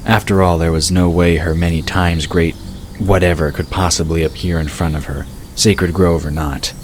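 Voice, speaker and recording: A man's voice: low voice, fairly even intonation, moderate pace, a little hiss or hum. A man reading scripted fantasy story narration as the narrator of an audio drama.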